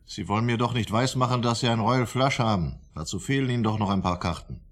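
An actor playing a shrewd, self-important psychiatry professor, formal and probing, with a husky voice in a radio drama. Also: male voice, on the low side, quick pace, close to an everyday voice. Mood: shrewd, challenging.

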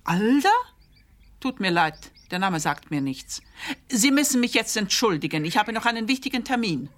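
A woman's voice: mid-range voice, animated, normal speed, a bit bright, close to an everyday voice. A woman playing a brusque, imperious clinic director in a radio play, curt and dismissive. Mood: indignant, dismissive.

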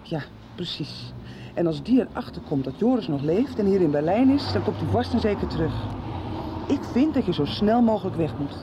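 A woman's voice: medium pitch, expressive, normal speed, close to an everyday voice. An actress playing an earnest young woman who speaks formally and takes charge to protect her friends in a radio soap. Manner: earnest, formal, taking charge and making plans. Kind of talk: 1990s radio soap acting. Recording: dull lo-fi radio recording with faint street noise.

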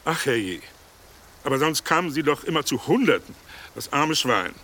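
An actor playing a flustered, sympathetic mourner in a German radio drama, blunt, rueful and exclamatory. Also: male voice, on the high side, very animated, moderate pace, bright, forceful tone, heavily acted. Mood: rueful, dismayed.

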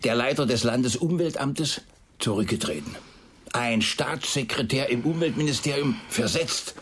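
An actor playing a patronising police chief in a radio crime drama, lecturing in a cutting, rhetorical way with a husky, gravelly voice. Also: male voice, medium pitch, normal speed, a bit bright, a little hiss or hum, lightly acted. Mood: cutting, dismissive. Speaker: head of a police investigation unit.

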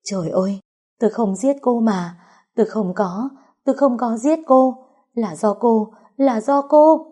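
A woman voicing a terrified older woman who pleads and sobs for her life, her voice husky and dark. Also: on the high side, a little slow, pitched above the performer's own voice. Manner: shrieking, sobbing pleas.

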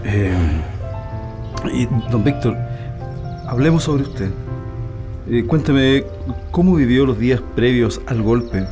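An actor playing a polite, patient interviewer in a radio drama. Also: male voice, medium pitch, animated, takes it slowly, pauses often, over music. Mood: polite, curious. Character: polite, patient male interviewer listening to elders' memories.

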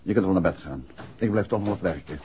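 An actor playing an assured, inquisitive gentleman detective and husband in a 1960s radio play, with a husky voice. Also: low voice, expressive, fast talker, a little hiss or hum. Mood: brisk, reassuring. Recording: dull, muffled 1960s radio recording.

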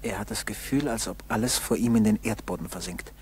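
A man narrating a 1960s German school radio play in a solemn, measured, scripted style. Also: on the low side, darkish tone, husky. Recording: 1960s radio tape with background noise.

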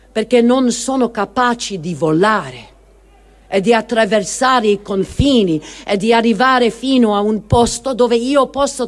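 A performer reading a first-person diary as a grieving, guilt-ridden adult child, in a deliberate dramatic style with emphatic pauses. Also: medium pitch, lightly acted. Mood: bitter self-blame. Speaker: reader of testimony.

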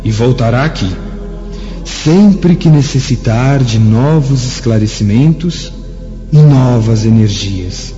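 Slow, reverberant fatherly angel. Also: mid-range voice, warm tone. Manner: solemn, lofty, preaching. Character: benevolent angelic male emissary, grave and fatherly. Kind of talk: dramatic radio play acting. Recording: muffled, long cavernous reverb, faint music.

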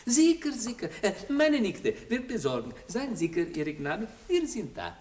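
A man's voice: high-pitched, wildly swooping pitch, measured pace, darkish tone, distant mic, heavily acted. A sly, fawning Italian schemer with an Italian accent, hawking secrets in broken phrases. His voice swoops widely up and down. It sounds roomy and set back from the mic, as in an old radio play. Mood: hushed. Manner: broken, fawning, whispering offers of service. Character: scheming Italian male intriguer, fawning spy for hire. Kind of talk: 1960s radio drama acting.

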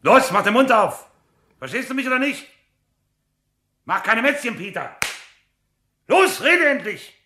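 An actor playing a menacing, commanding secret police captain in a radio drama, a sneering bully with a sharp manner. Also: male voice, extremely high pitch, big pitch swings, unhurried, long pauses, a little hiss or hum, full character voice. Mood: furious.

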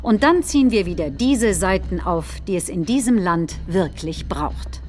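A woman acting in a radio play, reciting a populist politician's speech in a deliberate, rhetorical manner. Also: on the low side, expressive, a little hiss or hum. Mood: combative, mocking. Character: combative, folksy populist politician rallying supporters.

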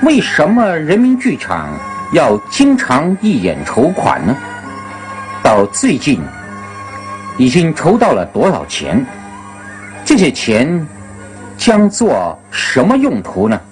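A man presenting on radio in a formal, scripted announcing style with measured rhetorical delivery. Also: on the high side, wildly swooping pitch. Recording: archive radio broadcast with music.

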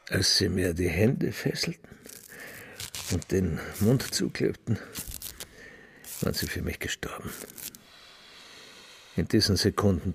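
An actor playing a bitter, domineering old father in a radio play, brooding and rhetorical, with a husky, gravelly voice. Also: deep voice, exaggerated voice. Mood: bleak, wounded. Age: older. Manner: brooding, rhetorical, repeating himself.

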